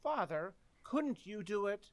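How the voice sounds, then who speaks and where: A woman's voice: mid-range voice, very animated, unhurried. A woman playing an earnest, dramatic teenage son in an old-time radio comedy. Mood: worried, pleading.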